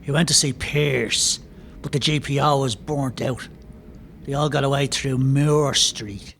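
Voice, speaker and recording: An actor with an Irish accent and a gravelly voice playing a wary, distrustful rebel volunteer in a historical audio play. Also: male voice, mid-range voice, fairly even intonation, measured pace, a bit bright. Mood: grave reporting. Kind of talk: historical audio drama acting. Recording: faint noise, crowd ambience behind.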